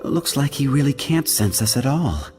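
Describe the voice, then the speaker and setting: A man voicing a solemn, humble water spirit in a video game, speaking in a slow, measured, formal way. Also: medium pitch, lively intonation, a little mellow, faint music behind, natural voice. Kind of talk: English game voice acting.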